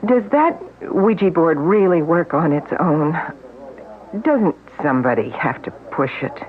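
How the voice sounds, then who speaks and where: A frightened, confiding wife. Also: female voice, low-pitched, sing-song, unhurried. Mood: fearful. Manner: tense, hushed and confiding. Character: frightened, confiding woman worried about her husband. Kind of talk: 1970s radio drama acting. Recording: tinny old radio broadcast recording.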